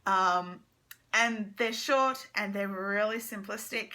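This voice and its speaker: A young woman giving a casual, halting book review to camera, informally trailing off and circling back. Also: medium pitch, bright, forceful tone, a little hiss or hum. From Australia.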